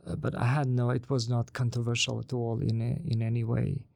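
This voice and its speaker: A man's voice: mid-range voice, monotone, brisk, creaky, a little mellow, no room sound, studio quality. A man with a Bosnian accent, a native Bosnian speaker, answers as an interviewed author in a conversational way, hesitant and often repeating words as he thinks.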